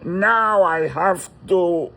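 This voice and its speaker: A man voicing a flustered, exasperated captor who gives halting, pleading orders. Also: high-pitched, creaky, a bit bright, exaggerated voice. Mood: flustered, menacing. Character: flustered male captor with an accent, exasperated, squeamish.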